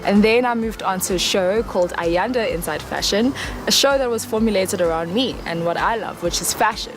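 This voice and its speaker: A woman's voice: mid-range voice, fairly quick. 20s Johannesburg woman, TV host, self-assured; music bed. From Johannesburg, South Africa. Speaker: TV host and stylist.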